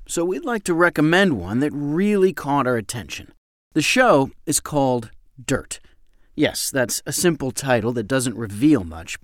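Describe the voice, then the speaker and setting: A man's voice: on the high side, no echo, studio quality. A man hosting a podcast, reading a scripted recommendation in a conversational way that addresses the listener directly. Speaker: podcast host.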